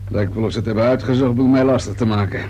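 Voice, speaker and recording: An actor playing a wry, methodical police inspector in a radio drama, politely probing in a musing, unhurried way. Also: male voice, medium pitch, breathy edge, dull audio, lightly acted. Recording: hum and steady noise behind voice.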